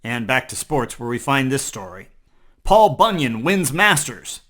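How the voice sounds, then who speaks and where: A man's voice: mid-range voice, big pitch swings, a little slow, a bit bright. A man hosting a comedy newscast, reading scripted parody headlines in a mock-serious newscaster manner. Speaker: comedy news host. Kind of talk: scripted parody news narration.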